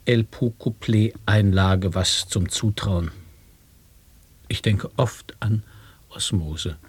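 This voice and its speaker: A man performing experimental sound poetry in a studio radio play, with slow, deliberate, syllable-by-syllable delivery. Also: on the low side, slightly rough. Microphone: studio microphone.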